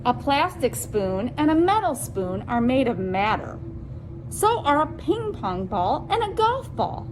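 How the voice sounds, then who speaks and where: High, slow-paced woman reading to children. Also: big pitch swings, background noise. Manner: deliberate, addresses listeners as friends with simple questions. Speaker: children's storytime presenter and reader. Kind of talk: children's read-aloud with asides to listeners.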